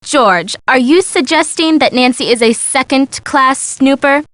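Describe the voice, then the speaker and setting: A woman's voice: high-pitched, unhurried, a bit bright, no echo. An actress playing a bubbly, imaginative young woman in a video game, gushing and theatrical. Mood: teasing. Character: bubbly, dramatic, imaginative young woman, sleuth's best friend. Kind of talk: scripted video game dialogue.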